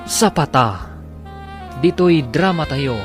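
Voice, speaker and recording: A man's voice: on the high side, animated, takes it slowly. Ilocano male radio drama announcer over music. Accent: Ilocano Filipino. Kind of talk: scripted dramatic radio narration. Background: instrumental music.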